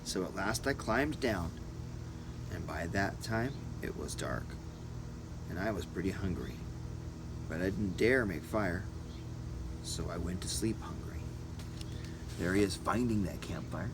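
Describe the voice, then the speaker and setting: A man narrating a first-person adventure story as an audiobook reader, in short deliberate phrases. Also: low voice, animated, background noise.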